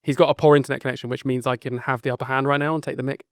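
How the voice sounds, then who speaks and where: Fast-talking British man hosting a webinar. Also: medium pitch, level tone, no echo, studio quality. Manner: upbeat, thanks people a lot, jokey. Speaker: webinar host. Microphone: video call.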